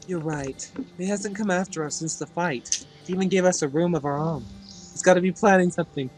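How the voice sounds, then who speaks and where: A woman's voice: fairly low pitch, sing-song, normal speed, pauses often, heavy background noise. A woman voicing a nervous, easily flustered young male hero in a fan-dubbed fantasy game. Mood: grumbling, agitated. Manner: dramatic, flustered outbursts and hesitations.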